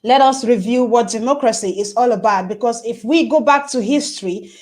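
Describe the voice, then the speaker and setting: A woman's voice: on the high side, brisk, darkish tone. A woman giving impassioned political commentary on a remote call, rallying listeners with emphatic repeated phrases. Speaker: guest commentator.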